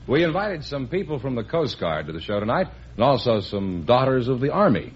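A man announcing a quiz show with a booming, drawn-out delivery. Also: very animated, slightly rough. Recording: dull old broadcast recording.

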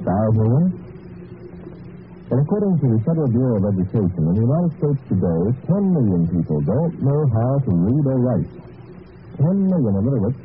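A man's voice: mid-range voice, a little hiss or hum. A man as announcer delivering an earnest, persuasive public service message. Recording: tinny old radio broadcast.

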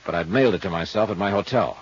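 An actor playing a laconic, world-weary investigator in 1950s radio drama, dry, clipped and matter-of-fact. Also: male voice, low-pitched, rapid-fire, husky. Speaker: lead detective and narrator. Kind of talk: 1950s hard-boiled radio acting and first-person narration. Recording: dull old radio recording.

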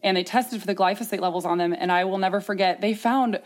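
A woman's voice: mid-range voice, fast. A woman hosting a candid interview, talking casually with earnest emphasis. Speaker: podcast host.

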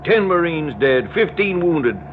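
A man's voice: on the high side, very animated, a little slow. An actor playing a folksy, stubborn rural American father turned kidnapper in an old radio drama, drawling with homespun politeness laced with menace. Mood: bitter, determined. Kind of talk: 1960s radio drama acting. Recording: muffled old radio broadcast, heavy noise.

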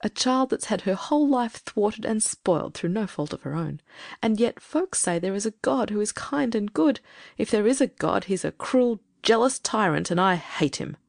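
A woman voicing a bitter, resentful woman in a storybook, with a gravelly creak. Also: medium pitch, expressive, moderate pace, no room sound, studio quality. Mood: bitter, vindictive. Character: bitter, vindictive woman, pitying yet fiercely resentful. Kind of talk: storybook character dialogue.

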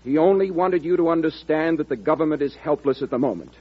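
An actor playing a sober, respectful government envoy in an old-time radio drama, with a husky voice. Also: male voice, on the high side, fast. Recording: dull, lo-fi old radio recording.

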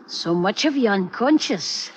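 A high-pitched, wheedling little sneak thief. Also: male voice, expressive, measured pace, a little hiss or hum, poor audio. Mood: sly, gloating. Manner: cajoling, pleading, repeatedly saying "mate". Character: small, wheedling, sly male pickpocket and drunk. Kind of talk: 1950s radio drama acting.

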